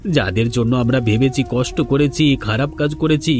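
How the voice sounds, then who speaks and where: A man's voice: mid-range voice, normal speed, a little mellow, faint music behind, close to an everyday voice. A man voicing a needy, plaintive village thief and husband in a cartoon drama. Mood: remorseful. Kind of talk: Bengali cartoon voice acting.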